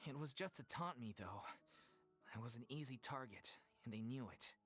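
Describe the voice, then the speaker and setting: A man's voice: mid-range voice, lively intonation, moderate pace, fairly bright tone, slightly rough. A man playing an earnest, plainspoken, loyal young troupe actor in a video game, with music behind him. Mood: earnest, reflective.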